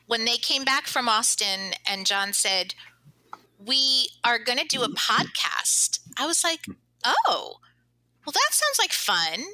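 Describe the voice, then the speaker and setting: A woman's voice: on the high side, sharp tone, dry recording, rough recording. A woman chatting casually in an interview as a cast member, jokey and self-deprecating.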